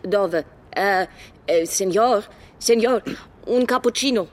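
A woman's voice: medium pitch, slow, close to an everyday voice. An actress playing an agitated, insistent woman of about forty in naturalistic audio drama dialogue. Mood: flustered, insistent. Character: agitated, urgent woman around forty, stranded traveler. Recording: loud music behind the voice.

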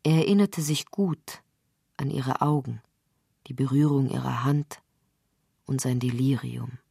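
Deep-voiced woman reading a story for radio, mellow dark tone, measured pace of telling. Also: gravelly, slightly rough, no echo, studio quality. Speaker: narrator. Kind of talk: literary scripted narration, radio reading.

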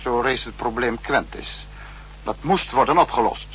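A stern, lecturing spy chief. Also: male voice, mid-range voice, moderate pace, fairly bright tone, husky. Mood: stern, analytical. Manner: formal, lecturing. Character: stern, analytical spy-state leader reproaching his subordinate. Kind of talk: 1960s radio drama acting. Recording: noisy, humming old radio recording.

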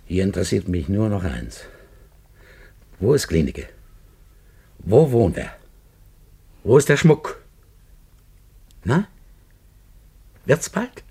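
An actor playing a menacing, impatient man who barks demanding questions in a husky, gravelly voice in a radio drama. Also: on the low side, big pitch swings, slow pace, pauses often, warm tone, exaggerated voice. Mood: threatening. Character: menacing, impatient aggrieved man interrogating an informant. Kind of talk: German radio drama acting.

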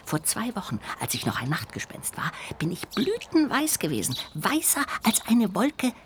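A woman voicing a small, contrite, childlike ghost in a children's radio play, earnest, lamenting and expressive. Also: medium pitch, normal speed, noisy background. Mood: apologetic, confiding. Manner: expressive, lamenting, swinging between exclamations and hushed confiding.

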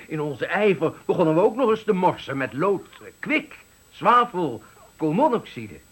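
An actor playing an embittered, eloquent factory worker of sixty-five, giving a dramatic, deliberate farewell speech in a radio play. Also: male voice, high-pitched, a bit bright, breathy edge, full character voice. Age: 60s. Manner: oratorical, dramatic, deliberate phrasing. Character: embittered eloquent 65-year-old male factory worker at his retirement.

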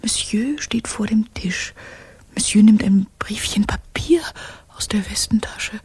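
Whispering, watchful young housemaid. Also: female voice, medium pitch, dry recording. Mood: watchful, secretive. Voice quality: breathy whisper. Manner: slow, hushed, repetitive running report. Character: secretive young French housemaid spying, bossy and watchful. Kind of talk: radio drama acting.

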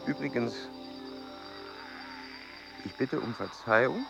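A man's voice: medium pitch, slow pace, vocal fry, over music. An actor voicing a fearless, courteous male explorer-scientist who speaks formally and earnestly, in a radio play.

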